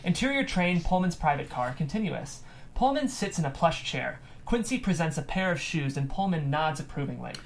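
A woman's voice: sits low, sing-song, moderate pace. A woman reading stage directions as scripted narration at a table read.

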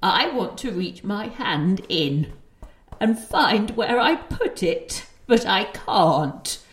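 Elderly gran, very slow, muddled, halting, lively swooping pitch, roomy echo, audio drama. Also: female voice. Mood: bewildered, searching. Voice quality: halting, hesitant delivery. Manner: muddled, groping for words. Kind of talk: stage-trained audio play acting.

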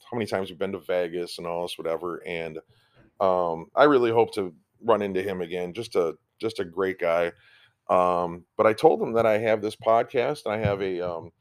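A middle-aged man hosting a casual podcast from home, rambling along with frequent ums and restarts. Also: sits low. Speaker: podcast host.

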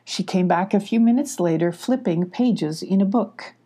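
A woman's voice: medium pitch, moderate pace, warm tone. An older woman narrating a children's story expressively as a storybook reader.

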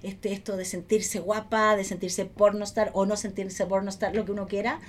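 A woman podcast host in casual conversation. Her medium-pitched voice is candid and chatty, often circling back over a phrase. There is slight background noise. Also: little pitch movement, normal speed.

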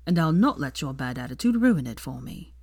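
A woman's voice: very deep voice, big pitch swings, brisk, darkish tone, no echo, studio quality, voice pushed down, exaggerated voice. A woman voicing a smug, teasing young male wizard in an audio story, breezily pleasant and drawling. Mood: smugly pleasant.

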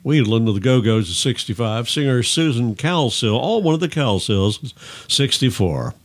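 Brief: older male American co-host, former DJ, wisecracking, casual delivery with lively swings in pitch. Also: moderate pace. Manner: jokey, quipping. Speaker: podcast co-host and former radio DJ. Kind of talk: casual joking chat.

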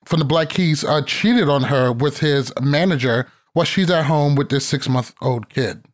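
A man's voice: on the high side, normal speed. A man in his thirties hosting a podcast, chatting casually and brashly, swearing freely. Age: 30s. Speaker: podcast host.

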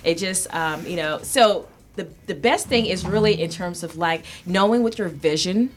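A woman's voice: fairly low pitch, moderate pace, slight background noise. A woman speaking as a guest in a casual panel talk, with loose, meandering phrasing. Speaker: guest, business consultant and life strategist.

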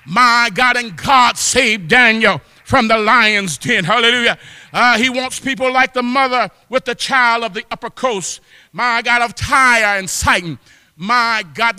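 A man preaching a fervent church sermon, exhorting with repeated exclamations of praise and often rising into shouts. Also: very high-pitched. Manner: impassioned, often breaking into shouts. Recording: long hall reverb.

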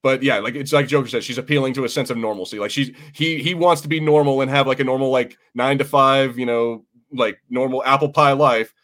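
An American man hosting a podcast in casual chat, animated and sweary, acting out imagined quotes as he goes. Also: mid-range voice, brisk, few pauses. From United States. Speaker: podcast host.

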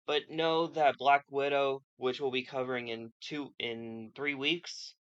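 A man's voice: mid-range voice, steady tone, measured pace, a bit bright, clear, resonant tone. Man co-hosting a podcast, dull lo-fi sound. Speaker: podcast co-host. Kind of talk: casual chat.

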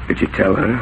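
An actor playing a broken-down, resigned middle-aged saloon owner, halting in speech, in a 1940s radio drama on a tinny recording. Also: male voice, low-pitched, a little hiss or hum.

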